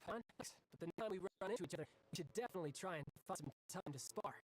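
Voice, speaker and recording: A man's voice: high-pitched, animated, rapid-fire. A man playing a breezy, cocky young warrior in a video game, casual and easygoing. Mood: casual, evasive.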